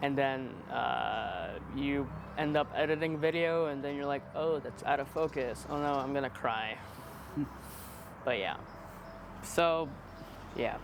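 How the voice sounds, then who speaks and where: A man's voice: mid-range voice, unhurried, gravelly, background noise. A man of twenty-one chatting casually in a rambling, self-deprecating, playfully joking way. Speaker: interviewee, amateur videographer. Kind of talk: casual chat.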